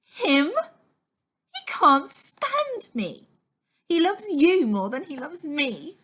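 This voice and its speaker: Storybook young girl, played by a woman: bitter, defiant, snappish, high and very animated. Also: takes it slowly, heavily acted. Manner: snappish, childish grammar.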